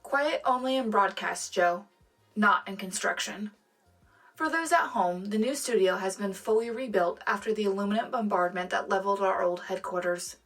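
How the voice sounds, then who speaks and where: A woman's voice: mid-range voice, normal speed, fairly bright tone. Wry woman radio correspondent over light music acted. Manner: wry, articulate. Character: Penny Lively, resourceful, dryly witty woman radio correspondent. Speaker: radio co-host. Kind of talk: scripted sci-fi radio banter.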